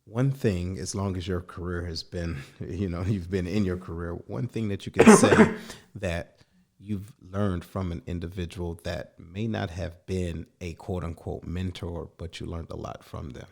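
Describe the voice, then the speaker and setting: Low gravelly guy hosting a podcast, kinda husky, chill chatty interviewer. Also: a little slow. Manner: conversational, frequent affirming murmurs. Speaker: podcast host. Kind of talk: casual interview.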